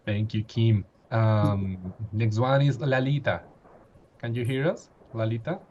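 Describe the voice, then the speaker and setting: A man's voice: medium pitch, fairly even intonation, unhurried, darkish tone, highs cut off, slight background noise. A man in his thirties hosting an online meeting, hesitant with many fillers, on a webcam. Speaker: meeting host. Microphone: video call webcam.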